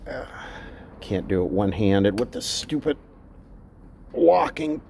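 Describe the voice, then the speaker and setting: A man's voice: fairly low pitch, animated, slow, creaky, a little mellow, slight background noise. A man giving casual, unscripted commentary as he repairs electronics, talking bluntly and thinking aloud in fragments. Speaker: electronics repair video host.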